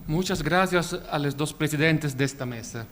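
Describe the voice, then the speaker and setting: A man whose first language is Italian, speaking with an Italian accent in a formal academic conference talk, measured, with occasional slips and self-corrections. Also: a little high, fairly bright tone, a little hiss or hum. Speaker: invited law professor.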